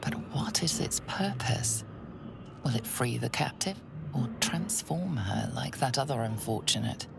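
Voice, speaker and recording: An actress playing an ominous, intimate video game narrator, addressing the listener in measured, often hushed dramatic narration. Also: female voice, extremely low pitch, lively intonation, gravelly, exaggerated voice. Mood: mysterious. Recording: loud game music behind the voice.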